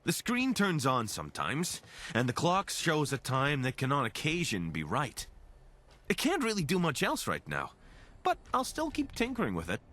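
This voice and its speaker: An actor playing a friendly, wry scavenger companion in a video game, chatty and casual with dry humor. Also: male voice, on the high side, wildly swooping pitch, moderate pace, bright, forceful tone, full character voice. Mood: wry, upbeat.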